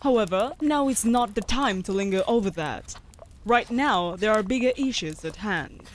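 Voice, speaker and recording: A woman playing a sharp-tongued, bossy wolf familiar in a fantasy audio roleplay, speaking in a haughty, sardonic, formal way. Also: medium pitch, lively intonation, moderate pace, a little hiss or hum, close to an everyday voice.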